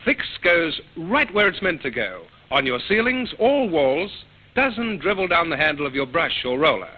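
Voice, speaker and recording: A man's voice: a little high, big pitch swings, moderate pace, slightly rough. A man reading a scripted commercial as a vintage radio announcer. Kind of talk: scripted vintage advertisement read. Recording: dull old radio recording.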